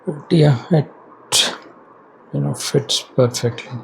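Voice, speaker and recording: A man with an Indian accent giving casual unboxing and installation commentary as a product reviewer, halting and hesitant as he thinks aloud. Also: mid-range voice, darkish tone. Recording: clearly noisy background ambience.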